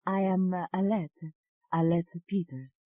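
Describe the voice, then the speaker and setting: A woman voicing a polite, gentle young Italian woman with a soft voice and an Italian accent in an audiobook. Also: lowish voice, fairly even intonation, dry recording, dull audio, voice pushed up. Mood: apologetic, gentle. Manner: gentle, hesitant, courteous, slow.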